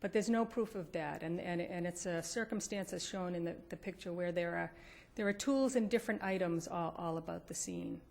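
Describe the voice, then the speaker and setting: A woman defense attorney with a gravelly, creaky voice sitting slightly low argues before appellate judges. She speaks carefully and often halts to restart her sentences. The setting is a courtroom. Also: moderate pace, hardly any pauses, a little mellow. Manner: hesitant, restarting phrases, careful. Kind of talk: appellate oral argument.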